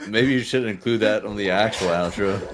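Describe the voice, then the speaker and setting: A man's voice: lowish voice, fairly quick. A man playing in a tabletop role-playing game, joking casually with the rest of the table.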